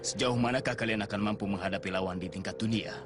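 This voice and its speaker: A man voicing an earnest cartoon soccer coach, solemn and measured as he encourages. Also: on the low side, steady tone, natural voice. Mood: solemn encouragement. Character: earnest adult male soccer coach and mentor. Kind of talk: dubbed cartoon acting. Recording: loud music over the voice.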